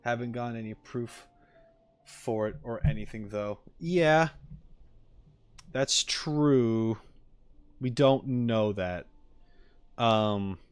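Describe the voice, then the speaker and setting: A man's voice: mid-range voice, slow pace, pauses often, smooth. A man streaming in a casual chat style, hesitant as he thinks aloud.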